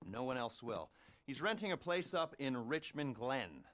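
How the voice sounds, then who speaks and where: A glib, rambling criminal fixer. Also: male voice, mid-range voice, sing-song, a bit bright. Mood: glib, matter-of-fact. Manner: glib, halting, self-correcting, sardonic. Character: rambling, evasive male criminal agent briefing a heist crew. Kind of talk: video game cutscene acting, conversational briefing.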